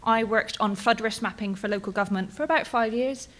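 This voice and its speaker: A woman's voice: on the high side, fairly quick, a bit bright. British woman giving a chatty conference talk. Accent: British English. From England, Bristol. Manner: casual and chatty, frequent ums and tag questions. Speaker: postdoctoral researcher presenting. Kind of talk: conference presentation.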